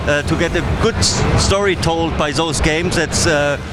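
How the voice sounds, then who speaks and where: A man with a German accent hosting a casual interview, speaking in a halting, polite way. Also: fairly high pitch, very noisy. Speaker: interviewer and host. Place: busy games trade fair. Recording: loud music behind the voice.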